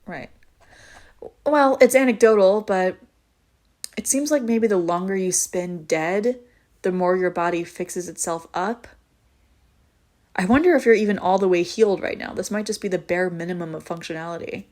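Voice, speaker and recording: A woman's voice: on the low side, moderate pace, pauses often, darkish tone, natural voice. Wry, teasing young vampire woman, acted by a woman. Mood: curious, clinical. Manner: casual, wry, teasing, swearing freely. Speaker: audio roleplay voice actor. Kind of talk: intimate scripted audio roleplay, conversational.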